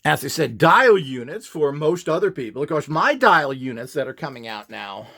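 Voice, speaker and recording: A man's voice: fairly high pitch, very animated, normal speed, gravelly, sharp tone. A man giving an informal, unscripted demonstration as an instructor, opinionated and dismissive in his way of talking.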